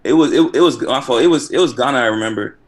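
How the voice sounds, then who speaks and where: A man from Nigeria calling in on a video call, friendly and relaxed, often repeating words as he talks. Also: mid-range voice, quick pace. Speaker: caller. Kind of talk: casual chat.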